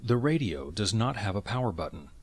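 A man narrating scripted instructions in clear, step-by-step phrasing. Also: on the low side, sing-song, brisk, no echo.

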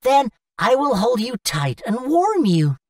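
Woman voicing a sweet, highish cartoon little boy. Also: very animated, measured pace, darkish tone, dry recording, clean studio sound, distant mic. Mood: affectionate. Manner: childlike, affectionate. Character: sweet, eager little boy who adores his mother. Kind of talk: English-language cartoon acting.

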